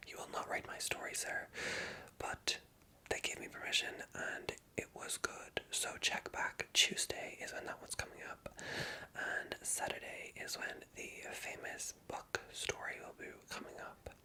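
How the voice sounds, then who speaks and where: An ASMR creator speaking in a soft whisper, chatting casually and rambling along as they address viewers directly. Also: a little slow, low-quality recording. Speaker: ASMR video creator and storyteller.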